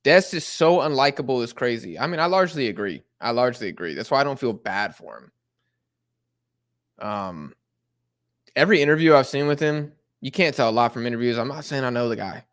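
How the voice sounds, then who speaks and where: A young man chats loosely through a live football watch-along. He rambles in slang, with plenty of fillers and restarts. His voice sits at an ordinary middle pitch. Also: fairly quick. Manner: slangy and rambling, lots of fillers, sudden excited outbursts at the match. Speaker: live stream host reacting to a football match. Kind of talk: casual live watch-along chat, reading chat messages.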